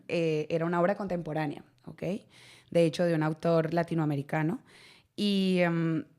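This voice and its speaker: Colombian woman in her 30s, lowish voice, chatty radio guest, laughs a lot. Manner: lively storytelling, laughs often, repeats words. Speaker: actress guest. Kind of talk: radio interview conversation. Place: radio studio.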